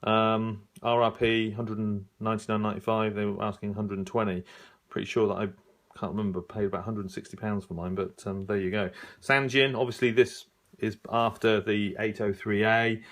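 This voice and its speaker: A man with a fairly low voice talks informally, as a hobbyist leafing through printed pages. He reads items out in a halting way, half to himself, and adds offhand remarks as he goes. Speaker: radio hobbyist reviewing an old magazine. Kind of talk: casual unscripted review, leafing through pages.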